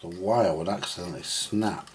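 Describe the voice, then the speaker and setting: A man with a husky voice, giving informal, unscripted hands-on commentary as he mutters through a repair, now and then mildly sweary. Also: sits low, moderate pace, a little hiss or hum. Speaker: host of a vintage radio repair video.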